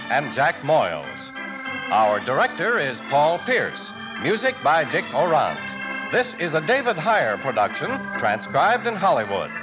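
A man's voice: fairly high pitch, rough recording. An American man announcing a 1950s radio show in an emphatic, promotional manner. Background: organ music.

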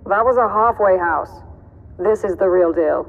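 A woman's voice: mid-range voice, normal speed, over loud music, close to an everyday voice. Woman playing a blunt resistance fighter, dull reverberant sound. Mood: matter-of-fact. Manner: blunt, matter-of-fact. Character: hardened, matter-of-fact female resistance fighter. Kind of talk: sci-fi audio drama acting. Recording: dull, reverberant, faintly noisy.

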